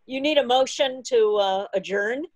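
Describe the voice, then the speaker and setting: A woman's voice: a little high, moderate pace, over a noisy crowd. A woman chatting casually and jokingly with fellow club members on a video call, often laughing. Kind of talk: casual group chat. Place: indoors at home, video call.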